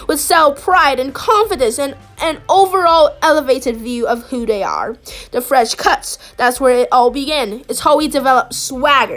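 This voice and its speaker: A woman with a high-pitched voice reads a children's story aloud. Her delivery is expressive and animated, with dramatic emphasis on key phrases. Faint music plays behind her. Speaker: storybook reader. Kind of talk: expressive read-aloud of a children's picture book.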